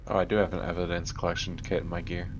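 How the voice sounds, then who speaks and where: A man's voice: deep voice, level tone, fast talker, slight background noise. A man playing a tabletop game, chatting casually and thinking aloud hesitantly, in slightly dull audio. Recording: slightly dull audio, faint music behind.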